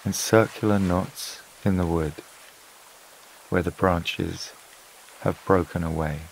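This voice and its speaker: A man narrating a scripted sleep meditation in a calm, soothing, unhurried way, with long pauses between phrases. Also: deep voice, creaky, slight background noise, rough recording. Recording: water and rain sounds behind voice. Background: flowing stream, rain-like ambience.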